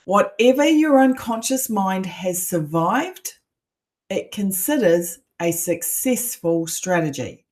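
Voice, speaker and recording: A woman's voice: mid-range voice, big pitch swings, slow pace, darkish tone. A middle-aged woman with a New Zealand accent, a coach teaching an online masterclass in an emphatic, persuasive way with rhetorical questions.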